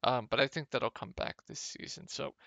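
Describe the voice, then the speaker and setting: A young man with a slightly hoarse, gravelly voice, casually talking through fantasy football picks over a screen share, with many ums. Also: medium pitch, normal speed, dry recording, dull audio. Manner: relaxed, lots of ums. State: a little hoarse. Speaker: fantasy sports analyst.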